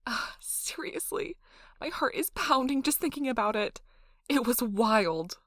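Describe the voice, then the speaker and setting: A woman playing an excitable, nerdy young girlfriend who rambles casually about her tabletop game in an animated ASMR role-play. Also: fairly high pitch, a little slow, no room sound, clean studio sound. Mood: thrilled. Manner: animated, breathless emphasis, frequent "like" asides.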